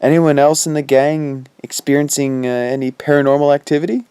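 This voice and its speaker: A man's voice: on the high side, steady tone, brisk, dark tone, clear, resonant tone, no echo, close to an everyday voice. An actor playing a wry, teasing teenage boy ghost in an audio drama, with slangy, needling delivery. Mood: wry, sly. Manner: slangy, laid-back, needling.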